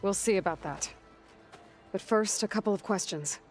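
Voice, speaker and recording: An actress playing a determined, self-reliant young warrior heroine in a video game, direct and questioning. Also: female voice, mid-range voice, normal speed, faint music behind, lightly acted. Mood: resolute. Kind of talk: video game character acting.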